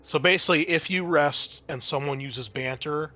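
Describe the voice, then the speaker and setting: Bright-voiced man running a tabletop game. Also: on the high side, normal speed, lo-fi sound. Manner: conversational, explanatory. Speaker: game master. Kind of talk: narrating and refereeing a tabletop game.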